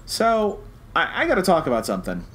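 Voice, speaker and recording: A man's voice: sits high, wildly swooping pitch, fairly quick, fairly bright tone. A man hosting a casual review podcast, talking emphatically and repeating phrases for stress. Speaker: podcast host.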